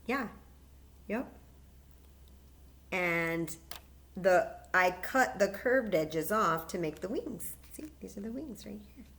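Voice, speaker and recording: A woman's voice: medium pitch, animated, slow pace, long pauses, a bit bright. A woman hosting a library story time, guiding children patiently through a paper plate craft step by step.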